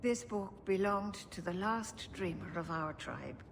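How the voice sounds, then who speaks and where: An actress playing a calm, dignified older elven keeper who speaks slowly and formally. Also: female voice, on the low side, vocal fry, big-room sound, a little hiss or hum. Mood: grave, grateful. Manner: slow, measured and formal. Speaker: elf clan keeper.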